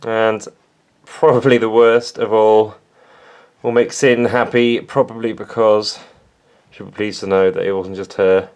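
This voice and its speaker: Self-mocking English guy, lowish voice. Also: little pitch movement, measured pace, darkish tone. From England. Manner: self-mocking, dry humor. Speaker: plant vlogger. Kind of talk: casual vlog.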